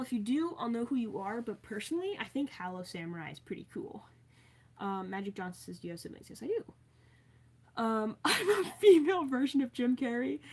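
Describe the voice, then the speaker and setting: Woman, from Maryland, live streamer, casual chat, playful, reads comments aloud, mid pitch. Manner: chatty and playful, with many fillers and asides.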